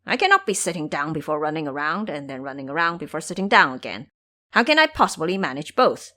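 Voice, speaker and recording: A woman's voice: deep voice, very animated, fast, pushed and bright, voice pushed down, full character voice. A woman voicing a foolish, lazy male pig monk in an audio drama, complaining and blustering. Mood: grumbling.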